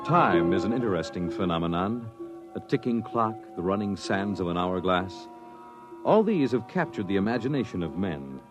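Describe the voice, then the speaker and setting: A man narrating a 1950s radio drama in a dramatic, measured, portentous manner. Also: sits low, sing-song. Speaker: announcer and narrator. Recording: muffled old radio broadcast, loud music behind. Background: loud dramatic music.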